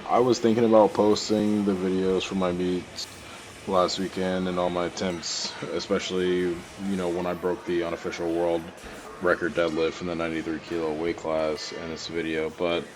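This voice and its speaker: A man's voice: deep voice, background noise. A young man with a cold, congested and stuffy, narrating his own training footage in a loose, rambling voice-over with many fillers and restarts. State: congested, sore throat, clearing throat often.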